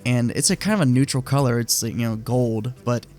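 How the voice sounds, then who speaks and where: A man getting over a cold, chatting casually and opinionatedly in a relaxed, rambling way full of fillers, hosting his own video. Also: mid-range voice, a little fast, faint music behind. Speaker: motorcycle vlogger. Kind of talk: casual screen-share commentary.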